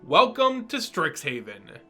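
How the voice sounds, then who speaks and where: Man voicing a composed, high-pitched woman mage. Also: wildly swooping pitch, slow pace, pushed and bright, faint music behind, heavily acted. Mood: calm. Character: composed, enigmatic older woman mage guiding young travelers.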